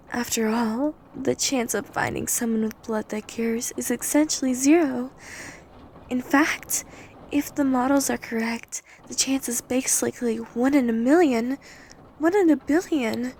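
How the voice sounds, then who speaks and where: Casting: woman to play possessive, domineering female vampire for ASMR drama; highish, creaky, intimate delivery. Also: darkish tone, a little hiss or hum. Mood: giddy, sated. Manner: breathy intimate delivery, often dropping to whispers. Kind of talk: ASMR roleplay audio drama.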